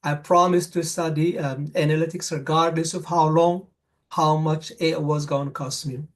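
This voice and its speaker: A middle-aged man from Morocco, telling his personal story at a conference in an earnest, repetitive, halting way. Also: fairly high pitch, flat delivery. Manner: earnest, repeats himself for emphasis, halting restarts. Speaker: conference speaker. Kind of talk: personal story talk at a summit.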